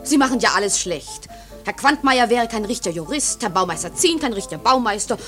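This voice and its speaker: A woman's voice: fairly high pitch, lively intonation, brisk, bright, forceful tone, soft background music. A woman acting in a radio play as a feisty, sensible young barmaid, scolding and dismissive.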